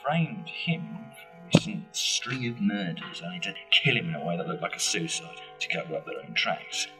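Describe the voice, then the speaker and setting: An actor playing a hesitant but persistent detective in an amateur radio play, with a husky voice. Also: male voice, on the low side, sing-song, moderate pace, pushed and bright. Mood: accusing. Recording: distant microphone with faint music.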